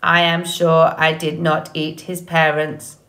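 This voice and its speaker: Woman voicing a polite, measured robot. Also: low voice, little pitch movement, fairly bright tone, heavily acted. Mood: earnest. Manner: friendly, measured, formal without contractions. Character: polite, cheerful, earnest female robot.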